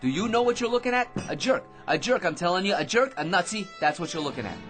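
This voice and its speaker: A boastful, excitable barfly in a vintage-style radio drama. The voice is fairly high and very animated, with a bright, forceful edge. He wheedles and brags in slangy bursts, eager to impress anyone in earshot. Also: quick pace, faint music behind. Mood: worked up. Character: boastful, excitable, talkative male barfly with a magic gizmo. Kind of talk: old-time radio drama acting.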